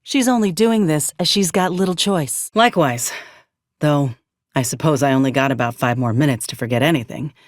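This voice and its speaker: An actress voicing a frank, loyal frontier soldier in a video game. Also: female voice, deep voice, normal speed, no room sound, studio quality, close to an everyday voice. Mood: urgent, wry. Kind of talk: scripted video game character dialogue.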